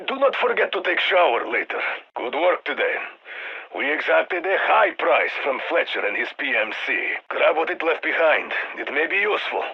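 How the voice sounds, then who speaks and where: A man's voice: a little high, vocal fry, slightly rough, muffled recording, full character voice. A man playing a stern, dry-witted military commander with a Russian accent, giving clipped orders over a radio in a video game. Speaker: squad handler on radio. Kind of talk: scripted game voice lines, mission briefing.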